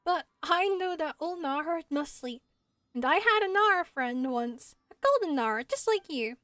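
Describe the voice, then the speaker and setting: Woman voicing a tiny high-pitched timid forest spirit. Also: lively intonation, normal speed, fairly bright tone, no echo, faint music behind. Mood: wistful, earnest. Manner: hesitant, wide-eyed, rambling. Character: timid, dreamy little forest spirit, just woken and dizzy.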